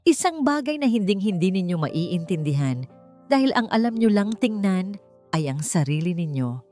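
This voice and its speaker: A stern and protective wealthy young man, acted out by a woman narrator in a romance audiobook. The delivery is firm and intense with lively pitch, over faint music. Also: normal speed. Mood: firm, angry.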